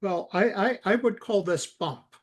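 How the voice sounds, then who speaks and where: Slow-talking man with a higher-pitched voice. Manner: halting, repeats words, spells things out. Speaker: software expert guiding a coding session. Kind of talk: informal step-by-step coding instruction.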